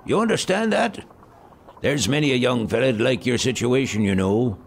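An actor playing an elderly, irritable miser in an audio drama, gravelly and curt with scornful grumbling. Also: male voice, medium pitch, lively intonation, moderate pace, a little hiss or hum, lightly acted.

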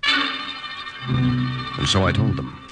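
A man's voice: mid-range voice, wildly swooping pitch, drawn-out words, over loud music, full character voice. A man acting as a tense, resolute young American secret agent in an old-time radio drama, with a hard-boiled dramatic delivery. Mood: wry.

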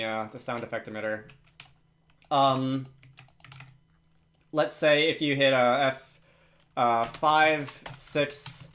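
A man's voice: on the high side, fairly bright tone, a little hiss or hum. A man presenting a casual, unscripted screencast tutorial, hesitantly thinking aloud as he works. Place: indoors at a computer.

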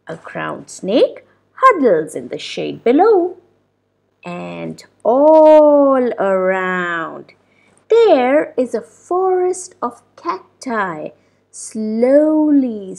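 Slow, high-pitched woman reading a storybook. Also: breathy edge. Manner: deliberate, expressive phrasing with drawn-out words. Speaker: children's storybook narrator. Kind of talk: read-aloud storytelling for young children.

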